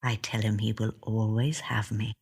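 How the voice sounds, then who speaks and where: A woman reading a first-person literary short story in a measured, gentle storytelling manner. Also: a bass voice, level tone, slightly rough. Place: audio studio.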